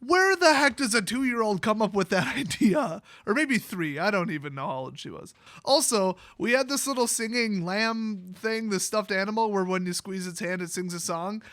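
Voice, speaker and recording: An American man telling personal stories in a casual, rambling vlog style, animated and prone to exaggeration. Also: high voice, normal speed, no echo, studio quality. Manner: animated, exaggerating, quotes people in acted-out voices. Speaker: YouTube vlogger.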